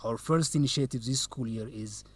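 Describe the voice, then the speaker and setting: Guy acting a confident young law student, medium pitch, polite but teasing, radio drama style. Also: normal speed, husky, natural voice. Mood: earnest. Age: young adult.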